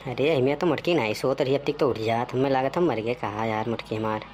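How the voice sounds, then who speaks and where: A man plays a long-suffering village husband in a cartoon. He talks in exclamatory, theatrical Awadhi at an average pitch. The recording has clear noise behind the voice. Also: fairly quick, a little mellow, natural voice. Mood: relieved. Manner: exclamatory, theatrical rustic talk. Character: put-upon village husband, grumbling and melodramatic. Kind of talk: cartoon comedy dialogue.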